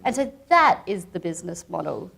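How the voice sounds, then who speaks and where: A woman's voice: lowish voice, sing-song, fairly quick, gravelly, fairly bright tone. A woman on a discussion panel making her point emphatically with rhetorical questions.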